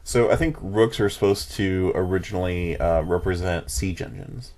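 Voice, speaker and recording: A man's voice: low-pitched, moderate pace, darkish tone. A man co-hosting a podcast, talking casually, recorded with a little background noise. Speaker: podcast co-host. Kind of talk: casual chat. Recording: faint background noise with clicks.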